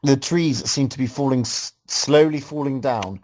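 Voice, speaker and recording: A man's voice: medium pitch, moderate pace, a little mellow. A man reading a story aloud casually as host, breaking off to make joking, mocking comments. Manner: breaks off to mock the writing.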